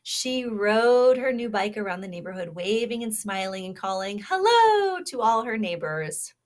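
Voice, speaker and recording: A woman's voice: mid-range voice, unhurried. Woman reading a children's story aloud. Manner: expressive, prompting, addresses young listeners. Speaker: storybook reader. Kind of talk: read-aloud children's story with questions to listeners.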